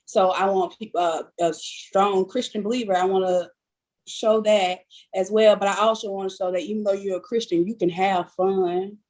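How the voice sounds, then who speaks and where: 20-something woman, sincere and determined, mid pitch, casual podcast chat. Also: steady tone, a little mellow, poor audio. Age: 20s. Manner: sincere, hesitant, then quick and determined. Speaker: podcast co-host.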